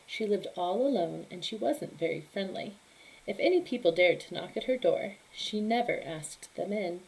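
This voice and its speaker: A woman reads a children's story aloud like a teacher with her class. Her delivery is animated and emphatic, shaped for young listeners. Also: medium pitch, moderate pace, gravelly, poor audio. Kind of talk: expressive children's story reading.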